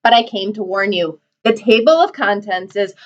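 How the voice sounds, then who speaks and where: A woman's voice: medium pitch, moderate pace, lightly acted. A woman voicing a fussy man who narrates storybooks and butts in, flustered and urgent, in a slightly muffled recording. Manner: flustered, interrupting. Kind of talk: storybook character voice.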